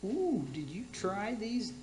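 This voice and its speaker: A woman's voice: mid-range voice, sing-song, takes it slowly, darkish tone. A woman playing a tipsy, bawdy middle-aged auntie who hosts a radio cooking show in a comedy play, rambling and gushing with slurred word slips. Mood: brash, teasing. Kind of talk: community theater radio comedy acting. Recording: roomy, echoing space.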